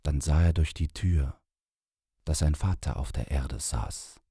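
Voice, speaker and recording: Slow, deep-voiced man reading aloud. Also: dark tone, breathy edge, no room sound, clean studio sound. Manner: slow, measured narration. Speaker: audiobook reader. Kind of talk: literary short story reading.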